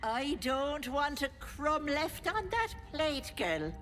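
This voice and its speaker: Sly old auntie hag, wildly swooping voice. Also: female voice, high-pitched, very animated, slow, a bit bright, faint music behind, full character voice. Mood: bossy scolding. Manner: cloyingly sweet pet names turning to scolding threats. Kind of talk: theatrical video game character acting.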